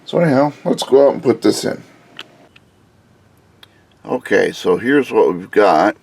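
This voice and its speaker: Male hobbyist reviewer, scratchy low voice, very slow relaxed delivery, casual explainer. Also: gravelly, husky. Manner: relaxed, unhurried explaining with frequent "uh"s. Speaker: car audio hobbyist reviewer. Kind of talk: casual hands-on product review.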